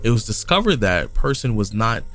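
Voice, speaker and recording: Man, podcast co-host, reads case notes aloud, low pitch, halting restarts, faint background music. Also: sing-song, fairly bright tone. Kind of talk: reading a case aloud in casual chat.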